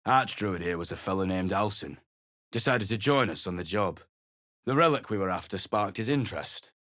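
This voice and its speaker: A man voicing a gruff, rough-spoken mercenary leader, surly and contemptuous. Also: sits low, animated, moderate pace, a bit bright, dry recording, clean studio sound. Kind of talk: video game voice acting.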